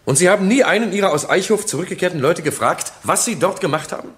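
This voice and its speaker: A skeptical public prosecutor in a courtroom radio drama. The man's fairly high voice moves animatedly as he presses his points formally and incisively. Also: animated, fast, fairly bright tone. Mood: probing.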